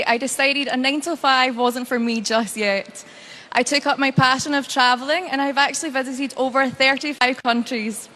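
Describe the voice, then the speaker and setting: Young woman, Scottish accent, high pitch, bright tone, rehearsed stage introduction. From Scotland. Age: young adult. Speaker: pageant contestant. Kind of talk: prepared self-introduction. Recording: long hall reverb.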